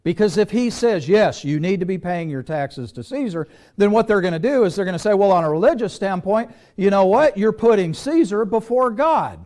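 A man's voice: high-pitched, animated, normal speed, few pauses, darkish tone. A middle-aged man preaching a sermon in a small church, moving about the stage and posing question after question to the congregation. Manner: conversational preaching, walks the stage with rhetorical questions.

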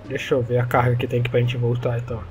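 A young Brazilian man with a Brazilian Portuguese accent, chatting excitedly and joking while playing a truck game online. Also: mid-range voice, very fast, rough recording. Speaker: gaming YouTuber. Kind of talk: casual gameplay commentary.

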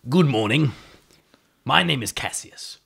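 A male actor as an insectoid leader of animal people in an audio drama. He speaks with formal, pompous polish and a husky rasp, always evasive and defensive. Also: mid-range voice, expressive, a little slow, fairly bright tone, heavily acted. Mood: coolly polite. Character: mantis-man leader, wealthy scholar of ancient magic, evasive.

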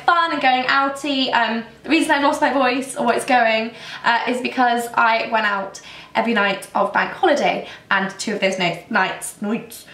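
A young woman in her twenties, losing her voice and croaky, chatting casually and self-mockingly through a makeup tutorial. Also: high-pitched, fairly bright tone, roomy sound, recorded from a distance. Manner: chatty, self-mocking, rambling with many ums. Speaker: beauty vlogger. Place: indoors, plain grey backdrop.

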